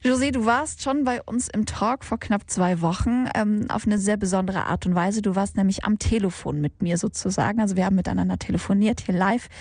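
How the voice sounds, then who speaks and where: A woman's voice: medium pitch, brisk, few pauses, slightly rough, dry recording. A woman hosting a Sunday radio talk show from the studio, interviewing a guest in a friendly, chatty way with frequent fillers. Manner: warm conversational, many fillers.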